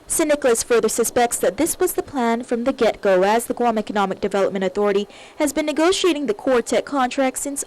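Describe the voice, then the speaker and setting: A woman's voice: on the high side, darkish tone, rough recording. A woman TV news reporter reading a scripted report.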